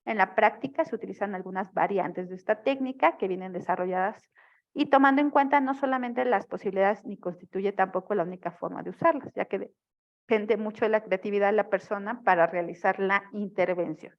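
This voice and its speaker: A woman's voice: medium pitch, a little fast, lo-fi sound. A woman teaching a family therapy class online, explaining and often checking in with her students. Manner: explanatory, frequent checking questions. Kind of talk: online lecture.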